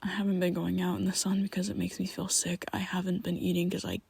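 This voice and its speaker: A man voicing a young male vampire best friend in an ASMR roleplay, whispering softly and haltingly as he confesses. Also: sits high, monotone, gravelly, breathy edge, heavily acted. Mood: hushed confessional. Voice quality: soft close whispering.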